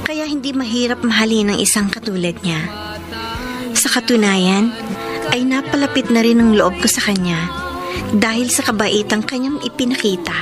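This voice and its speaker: Earnest, shy young Filipina played by an actress, music behind. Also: female voice, on the high side, moderate pace, lightly acted. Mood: earnest narrating. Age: young adult. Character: shy, grateful young housemaid and student, earnest and gentle. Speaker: radio drama actress. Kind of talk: Filipino radio drama acting.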